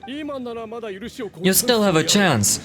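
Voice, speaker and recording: High-voiced, pompous villainous nobleman. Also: expressive, drawn-out words, music in the background, exaggerated voice. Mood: imperious. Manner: pompous, imperious, theatrical, formal. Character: pompous, scheming older nobleman uncle, indignant villain. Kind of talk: dramatic video game voice acting.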